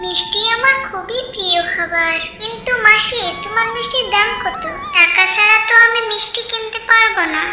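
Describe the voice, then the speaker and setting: A woman's voice: very high voice, normal speed, hardly any pauses, noisy background. A woman voicing an innocent, trusting little village child in a fairy-tale cartoon, chatty and earnest. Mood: eager, earnest. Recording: echoey, music behind.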